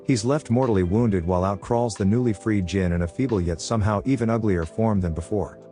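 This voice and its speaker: A man narrates a film recap in a fairly deep voice, tossing in flippant asides as he goes. The delivery is scripted and sardonic, with soft music underneath. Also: a little fast.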